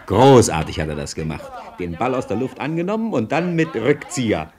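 Man acting an animated mock football commentator. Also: medium pitch, fairly quick, a loud crowd, lightly acted. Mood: playful. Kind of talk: mock sports commentary in radio play.

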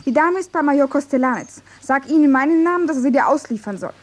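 An actress playing a frank, disillusioned young general's daughter, matter-of-fact and a little plaintive, in a 1950s radio play. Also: female voice, high-pitched, lively intonation, fast. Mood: businesslike.

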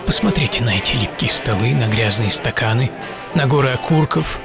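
Man acting a brooding, theatrical English actor over loud music. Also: mid-range voice, animated, fairly quick, vocal fry. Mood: sardonic, wry. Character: famous tall English actor, gloomy, sardonic, theatrical. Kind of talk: dramatised first-person monologue, radio play. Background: loud background music.

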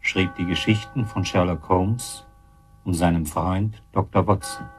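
A man announcing a radio drama in a measured, deliberate scripted style. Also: low voice, steady tone, poor audio. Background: guitar music.

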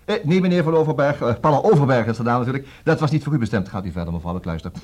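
An actor with a Netherlandic Dutch accent playing a busy, brisk, distracted male lawyer in a radio comedy, repeating himself and hesitating. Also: mid-range voice, expressive, darkish tone, lightly acted. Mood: businesslike, hurried. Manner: hesitant fillers, repeats words, juggles several conversations.

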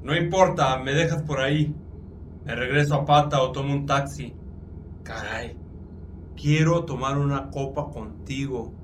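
An actor playing a drunk, pushy, foul-mouthed older Mexican family doctor in a radio drama, rambling crudely. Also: male voice, medium pitch, a little slow, recorded from a distance, a little hiss or hum, lightly acted. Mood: pushy, irritated.

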